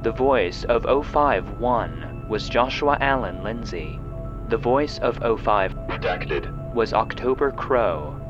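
Measured male narrator over prominent music. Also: on the low side, lively intonation, dull audio. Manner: measured, formal announcing. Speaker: producer and narrator. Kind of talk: scripted narration, end credits.

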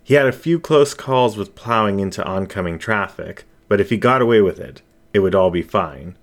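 Casting: male audiobook narrator, deep pitch, expressive suspense delivery for dramatic fiction. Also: moderate pace. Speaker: story reader. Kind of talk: dramatic scripted story narration.

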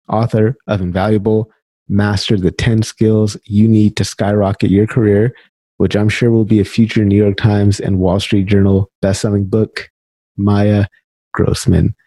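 A man's voice: on the low side, little pitch movement, unhurried, warm tone, no room sound. A man hosting a podcast, giving an upbeat scripted introduction and then interviewing a guest. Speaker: podcast host.

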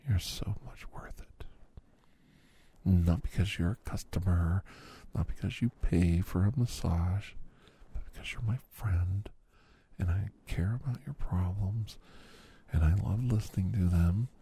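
Low gravelly whispering man. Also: measured pace. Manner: soft, soothing, reassuring whisper addressed to listener. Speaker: ASMR roleplay massage therapist. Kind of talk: whispered ASMR roleplay.

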